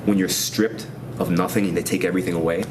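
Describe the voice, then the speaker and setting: Man in his 30s with a New York accent, very low voice, quick and rambling delivery, noisy recording. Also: fairly even intonation. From Queens, New York. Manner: casual, rambling, many restarts and fillers. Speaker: veteran interviewee. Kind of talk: oral history interview.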